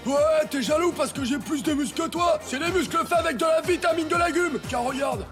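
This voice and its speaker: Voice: sits high, a little fast, close to an everyday voice. An actor voicing a boastful, taunting schoolboy bully, proud of muscles, in a French cartoon. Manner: bragging, taunting. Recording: music behind the voice.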